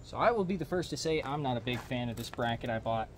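A man's voice: mid-range voice, animated, fast talker. A young American man giving instructional how-to narration as host of a DIY car channel. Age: young adult. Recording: clear background noise, clicks and jangling.

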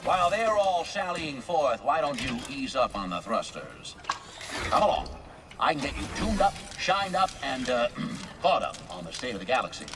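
A man voicing a folksy, playful, smooth-talking tinkerer in a video game, with drawn-out phrasing. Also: mid-range voice, sing-song, recorded from a distance, background noise. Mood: playful coaxing. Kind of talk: video game cutscene acting.

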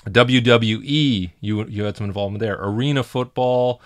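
A man's voice: lowish voice, normal speed, no echo. A middle-aged man hosting an interview podcast in a small studio, talking eagerly and rambling with many restarts. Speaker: podcast host. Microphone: desk mic on boom arm.

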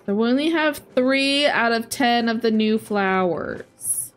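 Relaxed young woman streamer musing aloud. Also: fairly high pitch, unhurried. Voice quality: slightly raspy today. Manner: chatty, thinking aloud, soft asides to chat. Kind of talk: casual gameplay commentary. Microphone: headset.

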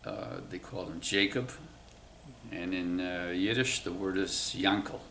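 A man in his nineties, born in Cuba, slowly recalling his family's past in an oral history interview, pausing often. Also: sits low, fairly even intonation, gravelly, fairly bright tone, reverberant, slight background noise. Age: 90s. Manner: slow, halting recollection with many pauses. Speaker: interviewee, WWII navy veteran. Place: museum room, indoors.

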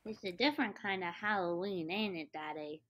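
A woman's voice: on the low side, moderate pace, a bit bright, lightly acted. A woman playing a mischievous, bratty little girl with a childish, wheedling manner in an old-time radio comedy. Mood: mischievous, innocent.

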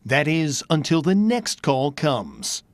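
A man's voice: a little high, very animated, slow pace, a little hiss or hum. An American man reading scripted narration for a TV news report.

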